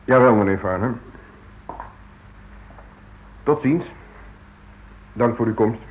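An actor playing a cool, sardonic convict in a Dutch radio play, talking with wry, mocking politeness. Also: male voice, mid-range voice, slow pace, breathy edge. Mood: coolly mocking. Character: cool, sardonic male convict receiving a prison visitor. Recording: muffled old radio play recording.

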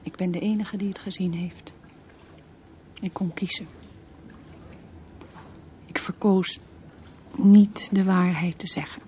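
A woman's voice: lowish voice, steady tone, takes it slowly, long pauses, mellow. An actress playing a haunted mother confiding memories of her dangerous son in a radio drama monologue. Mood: grave, anguished. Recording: muffled radio play recording, slight noise.

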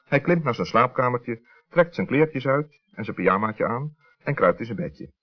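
A man tells a children's story in a husky voice with lively, swooping intonation. He has a Netherlands Dutch accent, and the vintage recording sounds dull. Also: medium pitch, normal speed, soft background music. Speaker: storyteller, narrator. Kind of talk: scripted children's story narration. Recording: muffled vintage radio recording.

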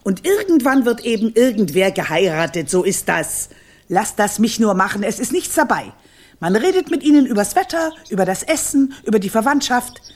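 Radio play role: bossy old witch queen grandmother, husky, lively swings in pitch, curt and commanding. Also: normal speed. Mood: imperious. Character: stern, domineering old witch grandmother ruling a kingdom. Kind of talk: German children's radio play acting.

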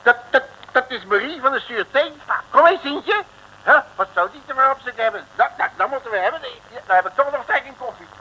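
A man's voice: extremely high pitch, expressive, fast, bright, forceful tone, heavily acted. A man playing a boastful, jovial detective in a comic 1960s Dutch radio serial, folksy, stammering and chuckling. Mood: puzzled excitement. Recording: noisy old radio recording.

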